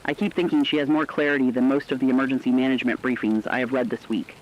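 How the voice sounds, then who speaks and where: A man playing a reflective, compassionate radio correspondent, delivering a scripted essay in an earnest, deliberate way. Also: medium pitch, fairly even intonation, muffled recording, natural voice. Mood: reflective, tender. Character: reflective, compassionate male radio correspondent during a global crisis. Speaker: radio commentator.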